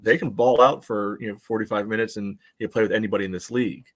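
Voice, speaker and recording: An American man co-hosting a casual soccer podcast, breaking down play in a halting way full of verbal tics. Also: lowish voice, steady tone. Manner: halting, peppered with "you know". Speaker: podcast co-host and soccer supporter. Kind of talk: casual sports analysis chat.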